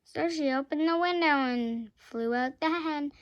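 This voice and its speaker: Female voice, very high pitch, children's storytime reader, picture-book narration. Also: measured pace, dull audio.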